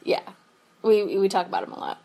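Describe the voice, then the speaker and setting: Young woman cohosting a pod from home, giggly, says like a lot, normal pitch. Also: very animated, fairly quick, warm tone. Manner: chatty, giggly, lots of fillers. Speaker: podcast co-host. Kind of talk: casual chat.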